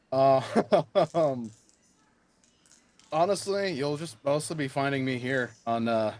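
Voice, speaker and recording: Slow-paced casual male player. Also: mid-range voice. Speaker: tabletop game player. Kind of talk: casual chat.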